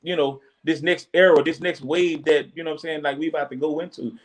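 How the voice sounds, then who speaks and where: A man holding forth on a casual podcast, earnest and a little preachy as he hands out advice to parents. His voice sits fairly high for a man. He circles back on himself with "you know what I'm saying.". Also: fairly even intonation, fast talker. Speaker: podcast co-host.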